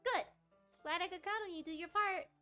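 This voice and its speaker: A woman's voice: extremely high pitch, fairly quick, pushed and bright, highs cut off, faint music behind, pitched above the performer's own voice. A woman voicing a small, feisty, easily flustered schoolgirl, snappy and defensive, in a visual novel. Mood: bossy. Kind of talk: visual novel dialogue read aloud.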